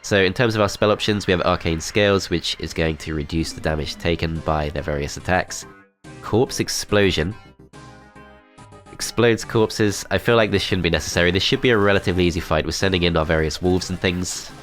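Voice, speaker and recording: A man with a cold and a blocked-up, nasal voice, calmly talking through his moves in a strategy game. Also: sits low, a little fast, soft background music. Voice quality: nasal, congested. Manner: calm, methodical, thinking aloud. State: has a cold, blocked-up nose. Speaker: gameplay commentator. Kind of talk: strategy game let's play commentary.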